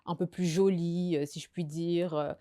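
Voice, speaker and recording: A young woman from Belgium talks through her life story as a podcast guest. Her voice sits low and mellow, and she pauses often with fillers and restarts her sentences. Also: steady tone. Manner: hesitant, many fillers and restarts. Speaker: guest sharing her testimony. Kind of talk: casual conversational testimony.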